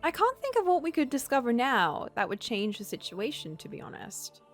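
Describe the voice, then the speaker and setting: A woman reading a visual novel aloud as a streamer, in expressive narration with casual asides. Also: on the high side, normal speed, soft background music.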